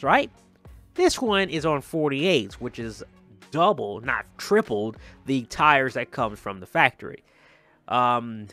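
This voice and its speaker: A man's voice: medium pitch, fairly bright tone. A man hosting a gaming video with casual, rambling commentary, often repeating himself for emphasis. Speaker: video game vlogger, host.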